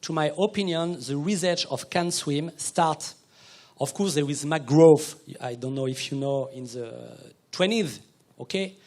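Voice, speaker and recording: A man presenting a research project on a conference panel, speaking as a with halting phrasing and many repeated words. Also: on the high side, a little mellow.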